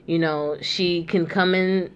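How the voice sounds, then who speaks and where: A woman's voice: fairly low pitch, steady tone, takes it slowly, smooth. A young woman hosting a casual chat, talking loosely with many asides and fillers. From New York. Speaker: host.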